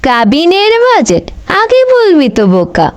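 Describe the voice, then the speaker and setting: A performer puts on a haughty old cartoon matriarch. Her voice is very high and lively, swooping as she scolds and preens. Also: measured pace, muffled recording, heavy background noise, exaggerated voice. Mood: bossy, scolding. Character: bossy, vain older female leader and bride-to-be. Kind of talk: comic cartoon dialogue.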